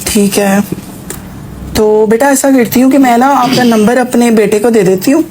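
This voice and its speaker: A woman's voice: a little high, normal speed, natural voice. Woman acting a coaxing well-off auntie, noisy background. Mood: probing, coaxing. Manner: auntie-like, persuasive, addresses listener as child, English words mixed in. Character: well-off mother from UK seeking a bride, coaxing. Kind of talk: acted prank phone call.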